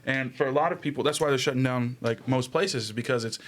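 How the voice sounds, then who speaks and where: A man's voice: medium pitch, quick pace. A young man in a casual podcast discussion, talking in slang with some swearing and hedging his opinions. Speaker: podcast co-host. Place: small indoor room, group around table. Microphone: desk podcast microphone. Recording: noisy small-room podcast recording.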